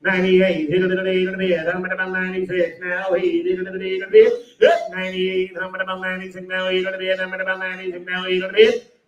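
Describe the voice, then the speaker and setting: An American man chants bids as a livestock auctioneer in a high-pitched voice. His patter is rhythmic, rolling and repetitive. It sounds distant, echoing through a sale barn PA. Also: racing, muffled recording, a crowd in the background.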